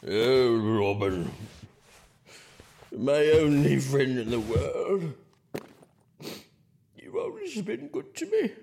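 A man's voice: medium pitch, huge pitch swings, creaky, darkish tone, a little hiss or hum, exaggerated voice. A man playing a drunken, bitter Prince John. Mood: drunk, maudlin. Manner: slurring, drawn-out and sulky. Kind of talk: audio drama acting.

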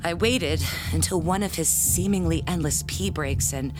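A woman playing a proud, jealous parishioner and bell ringer in an audio drama, speaking in a pompous, theatrical, halting way. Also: on the low side, over loud music, natural voice. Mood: defensive, sheepish.

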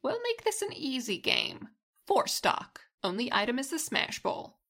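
A woman plays a cocky young woman gamer in an audio drama, goading her rival casually. Her voice is sharp and fairly high, with plenty of fry. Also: huge pitch swings, measured pace, exaggerated voice. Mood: playful challenge. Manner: taunting, casual.

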